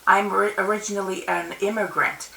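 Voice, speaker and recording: A woman's voice: mid-range voice, fairly even intonation, brisk, vocal fry, sharp tone, slight background noise. A middle-aged woman whose first language is Russian, telling a personal story in a loose, rambling way with restarts and laughs, speaking to a webcam in her home study. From Soviet Union. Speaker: convention speaker. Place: home study with bookshelves and plants. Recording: roomy webcam audio from a distance.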